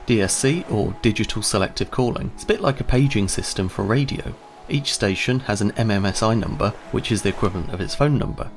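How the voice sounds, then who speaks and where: A man's voice: on the low side, expressive, a little fast, soft background music. A man giving a scripted instructional narration, explaining step by step in a conversational way.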